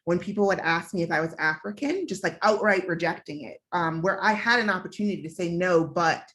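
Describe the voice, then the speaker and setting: A woman's voice: on the low side, animated, a little fast, fairly bright tone. A young woman from the United States telling a personal story on a panel, in a candid, reflective way with frequent fillers.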